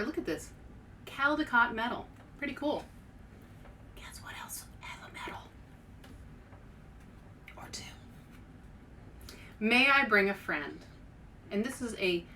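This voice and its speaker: Woman, read-aloud host, higher voice, emphatic storybook delivery, distant mic, faint background noise. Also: bright, forceful tone. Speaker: host and author reading picture books aloud. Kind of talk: read-aloud for children with asides to viewers.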